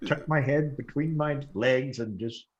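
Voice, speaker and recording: A man's voice: mid-range voice, a little slow, highs cut off. A man with an American accent, a guest on a casual livestream, laid-back and playful with some mild swearing.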